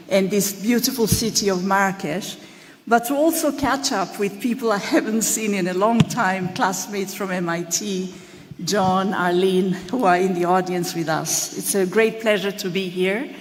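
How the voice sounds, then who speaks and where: A woman delivers a formal acceptance speech in a measured, deliberate way. She slows to stress key phrases, and her voice sits at a mid pitch. Her voice rings with the long reverb of a large hall. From Tanzania. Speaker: award recipient. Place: large hall at an awards ceremony.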